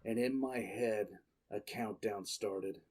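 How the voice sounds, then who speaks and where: A man playing a contemptuous military commissar who tells his own story in grim, deliberate dramatic narration. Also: lowish voice, a little mellow, husky. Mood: grim resolve. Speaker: narrator and lead character. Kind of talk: first-person dramatic audio drama narration.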